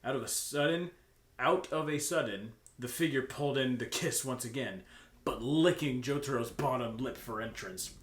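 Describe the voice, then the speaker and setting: A man's voice: medium pitch, moderate pace. A man co-hosting a comic fan fiction reading, moving between reading aloud and joking casual banter. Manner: animated, joking, often breaking into laughter. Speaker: co-host and reader. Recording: roomy sound with faint noise.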